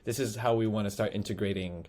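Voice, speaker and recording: A man co-hosting a podcast over a video call, asking questions in a measured, encouraging way. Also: on the low side, fairly even intonation, darkish tone. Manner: measured, encouraging, draws words out. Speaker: podcast co-host. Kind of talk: interviewing, casual chat. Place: indoor room at home.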